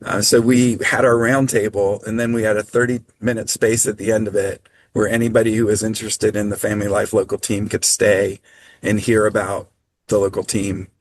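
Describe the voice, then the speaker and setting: A man presenting on a video call training, speaking in a measured, practical way with frequent ums. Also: lowish voice, level tone, low-quality recording. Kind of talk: online training talk.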